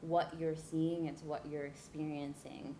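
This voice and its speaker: Earnest woman speaker, lowish voice. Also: flat delivery, warm tone, a little hiss or hum. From New Jersey, US. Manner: earnest, with frequent um fillers and dramatic pauses. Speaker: guest speaker. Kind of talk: personal storytelling talk. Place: event room.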